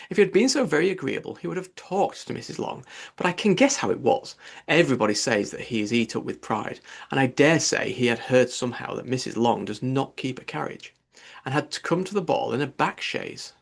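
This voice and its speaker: A man's voice: mid-range voice, expressive, brisk, vocal fry, breathy edge. A man voicing a gossipy, indignant middle-aged mother in an audiobook, effusive and opinionated. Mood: scornful. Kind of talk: audiobook character dialogue.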